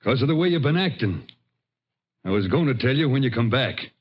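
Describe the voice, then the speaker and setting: An actor playing a righteous, quick-tempered farmer with a rural Texan drawl in a vintage radio western. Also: male voice, mid-range voice, expressive, husky. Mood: guarded, stern. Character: righteous, hard-working farmer, stern and quick-tempered brother. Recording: muffled vintage radio recording.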